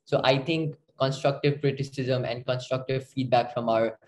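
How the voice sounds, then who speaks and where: A man's voice: mid-range voice, fairly even intonation, moderate pace, a little mellow. A young man from Nepal, a student panelist giving an earnest answer in a discussion. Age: young adult.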